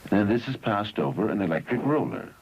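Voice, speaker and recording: A man reads scripted narration for an educational film in measured, instructional phrases, on an old 1971 film soundtrack. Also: on the low side, lively intonation, a little mellow, lo-fi sound, slight background noise.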